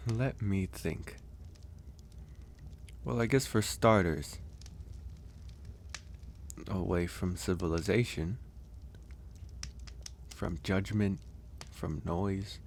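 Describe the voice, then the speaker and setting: A man voicing a gentle young werewolf in a soft, intimate ASMR roleplay, with an unhurried, hesitant and reassuring manner. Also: low-pitched, heavily acted. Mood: thoughtful, relaxed. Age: young adult. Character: gentle young male werewolf, shy mountain recluse.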